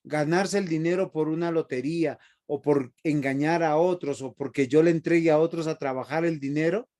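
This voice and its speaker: A man's voice: a little high, steady tone, normal speed, darkish tone. A man teaching a Bible seminary class in a preachy way, often asking the students questions.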